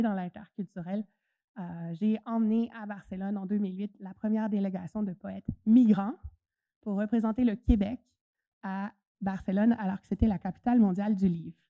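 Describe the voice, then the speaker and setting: Woman, conference speaker, mellow dark tone, casual hesitant delivery. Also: mid-range voice, dry recording. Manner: chatty, hesitant with many fillers. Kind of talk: presentation talk.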